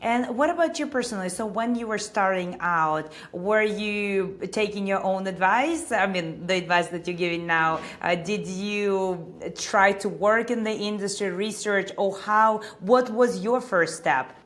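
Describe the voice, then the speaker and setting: A woman's voice: mid-range voice, a little slow, hardly any pauses. A woman hosting an interview, asking questions with small restarts and friendly agreement. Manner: warm affirmations, stumbling restarts. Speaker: interviewer, host.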